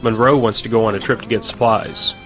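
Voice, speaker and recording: An actor playing a weary, stubborn army veteran in an audio drama, with a dry, plain-spoken manner. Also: male voice, on the low side, steady tone, brisk, fairly bright tone, soft background music, natural voice, low-quality recording. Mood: guarded. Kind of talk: naturalistic audio drama acting.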